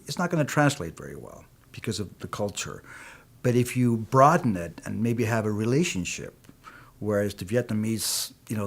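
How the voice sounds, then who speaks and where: A middle-aged man from Peru, a native Spanish speaker, speaks about his work as a film producer in a low, unhurried voice. He talks in measured, reflective stretches and often adds "you know" as he works through a thought. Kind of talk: interview answers.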